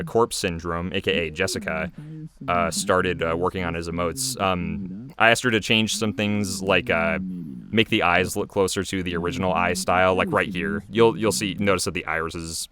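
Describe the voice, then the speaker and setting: A man with a fairly low voice gives an off-the-cuff creator commentary. He rambles hesitantly and plays down his own work. Other voices from the playing episode are clearly heard behind him. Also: steady tone, normal speed, a crowd in the background. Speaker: creator and voice actor giving commentary. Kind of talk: casual, unscripted commentary on own animation.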